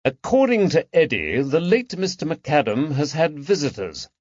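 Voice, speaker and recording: A man voicing a calm, perceptive Victorian detective with a gentle, measured way of talking, in a dull, dry recording. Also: fairly high pitch, no room sound, pitched above the performer's own voice. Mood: pleased.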